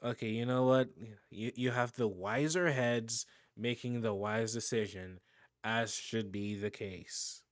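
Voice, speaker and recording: A man's voice: mid-range voice, fairly even intonation, unhurried, no echo. A man giving casual, rambling reaction commentary on a video, often restarting and laughing. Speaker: YouTube reaction host.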